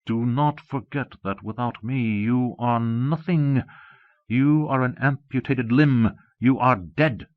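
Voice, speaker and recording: A man voicing an imperious, coldly possessive disembodied mastermind in an audiobook, with slow, emphatic, formal commands. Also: medium pitch, no echo, close to an everyday voice. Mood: stern, menacing. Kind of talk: audiobook character dialogue. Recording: dull lo-fi cassette audiobook.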